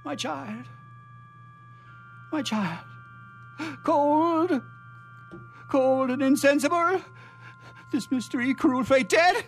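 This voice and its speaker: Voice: high-pitched, takes it slowly, pauses often, slight background noise. An actor playing an anguished old father, tender and pleading, in melodramatic radio theatre style. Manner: melodramatic lamenting, exclamatory.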